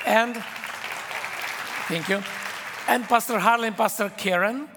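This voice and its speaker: A man's voice: high voice, a little slow, a crowd in the background, noisy background. A man from Israel preaching a sermon in English, his third language, with an Israeli accent and emphatic, repetitive phrasing. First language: not English; English is his third language. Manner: emphatic, repetitive preaching with simple non-native grammar. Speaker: guest preacher. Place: church sanctuary. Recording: church hall with long reverb.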